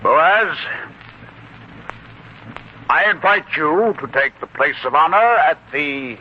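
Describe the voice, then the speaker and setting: Courteous father of the bride, higher-pitched and husky, formal 1940s radio delivery, muffled broadcast. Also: expressive. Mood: gracious, formal. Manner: courteous, ceremonious, deliberate. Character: gracious father of the bride hosting a feast. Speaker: radio drama actor.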